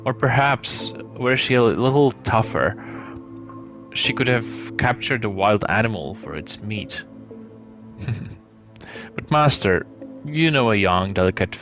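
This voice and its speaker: A man reading a story aloud live as narration, in a measured way with some hesitations and stumbles. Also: lowish voice, expressive, fairly bright tone. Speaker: streamer reading a visual novel aloud. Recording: clear background music behind the voice.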